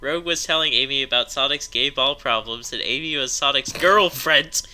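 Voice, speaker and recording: Bright, sharp-voiced man reading aloud. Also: mid-range voice, normal speed, slight background noise. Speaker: storytime narrator. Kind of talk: comedic story read-aloud among friends.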